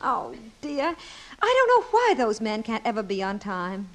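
An actress playing a gentle, patient, affectionate wife in a 1950s radio comedy, speaking with tender hesitation. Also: female voice, a little high, wildly swooping pitch, unhurried, heavily acted. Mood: wry, fussing. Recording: vintage 1950s radio recording.

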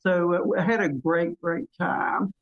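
A woman's voice: lowish voice, steady tone, measured pace, highs cut off. An older woman with a Southern US accent, chatting enthusiastically as a guest on a radio talk show. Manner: warm drawl, enthusiastic, chatty. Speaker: guest, business mentoring chapter chair. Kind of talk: casual radio interview.

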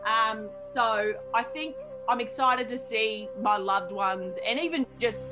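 A woman's voice: fairly high pitch, takes it slowly, low-quality recording. Joking woman over background music. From Australia. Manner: jokey, self-deprecating, rambling with fillers. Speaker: radio host guest. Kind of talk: casual interview chat.

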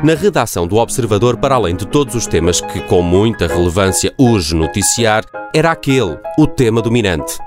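Low-pitched male narrator over music. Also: very animated, fairly quick. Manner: tongue-in-cheek, mock-solemn. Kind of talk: scripted radio drama narration. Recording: music bed under the voice.

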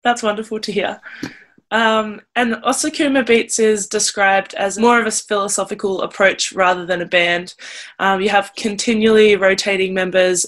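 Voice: medium pitch, a bit bright. An interviewer asking friendly questions and encouraging the guest. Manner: encouraging, laughs along.

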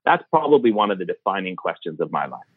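A man's voice: low-pitched, big pitch swings, quick pace, husky, no room sound. A man, a guest born in Iran and raised in Canada, answering interview questions thoughtfully with many fillers. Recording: tinny phone-like line.

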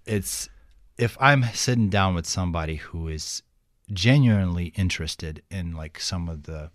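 Ukrainian-accented man, very low voice, dry close sound; halting guest who restarts often. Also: dry recording. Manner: halting, restarts often, animated when telling stories. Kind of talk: casual interview.

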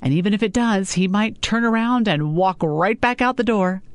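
A woman's voice: medium pitch, normal speed, no room sound, clean studio sound, voice pushed up, lightly acted. Woman playing a nagging, scolding mother. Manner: scolding, pushy, talks over her daughter. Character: stern, lecturing middle-aged mother, nagging.

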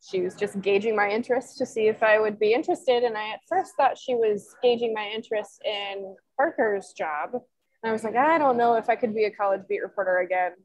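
A woman's voice: mid-range voice, fairly quick, poor audio. A woman in her twenties, a sports columnist, answering interview questions in a chatty, rambling way full of fillers. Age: 20s.

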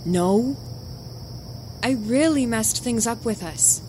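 An actress playing an anguished, fragile young ex-girlfriend in an audio drama, pleading in a hushed, halting way. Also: on the high side, lively intonation. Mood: distraught. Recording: noisy, night insect ambience behind.

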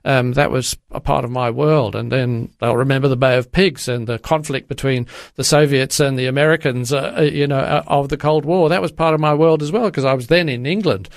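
An older man from Zimbabwe answering interview questions in a halting way, with many restarts and fillers. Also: mid-range voice, fairly even intonation, no echo, clean studio sound. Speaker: guest.

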